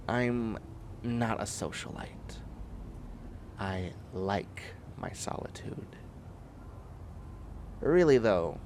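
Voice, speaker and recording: A man's voice: fairly low pitch, vocal fry, background noise, heavily acted. A man playing a tired, prickly, bookish biotech student in a sci-fi comedy audio roleplay, with a wry, fussy, rambling delivery. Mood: weary, wry. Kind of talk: scripted comedic audio roleplay, one side of a conversation.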